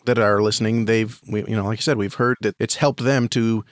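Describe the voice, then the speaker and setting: A man's voice: lowish voice, fairly even intonation, fairly quick, no echo, clean studio sound. An American man giving earnest, enthusiastic conversational answers as a guest in an interview. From United States. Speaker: podcast guest, audio drama reviewer and podcaster.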